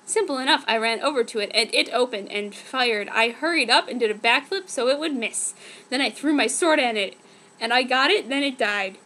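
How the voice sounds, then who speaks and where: A woman's voice: fairly high pitch, moderate pace, sharp tone. A woman giving a dramatic, mock-earnest reading of fan fiction as a narrator. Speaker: reader.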